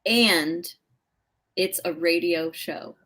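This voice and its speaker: A woman hosting a live show, chatting casually and reacting in an animated, informal way. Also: lowish voice, extremely slow. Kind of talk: casual interview chat.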